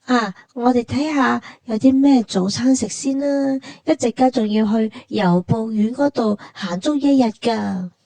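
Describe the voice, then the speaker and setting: A woman's voice: on the high side, unhurried, gravelly, few pauses, dark tone, no echo. A woman with a Hong Kong Cantonese accent narrating a travel vlog in casual, colloquial, matter-of-fact banter.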